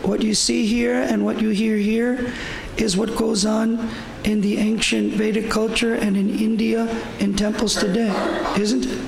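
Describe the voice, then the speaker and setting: An older man with a hoarse voice giving an informal devotional talk at a temple, rambling and repeating himself. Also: sits high, flat delivery, unhurried, darkish tone, roomy sound, slight background noise, low-quality recording. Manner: rambling, repetitive, with fillers. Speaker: visiting speaker at a temple. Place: temple hall.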